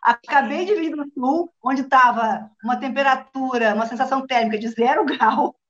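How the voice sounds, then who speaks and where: A woman's voice: high-pitched, normal speed. A middle-aged woman from southern Brazil with a Brazilian accent, giving a casual, conversational personal account as an interview guest. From Rio Grande do Sul, southern Brazil. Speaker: guest, journalist. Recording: dull, lo-fi online call.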